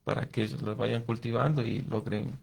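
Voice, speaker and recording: A man's voice: mid-range voice, steady tone, moderate pace, gravelly, breathy edge. A man with an Ecuadorian accent answering interview questions conversationally, explaining things and often restarting his sentences. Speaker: interview guest, watercolor artist and youth coordinator.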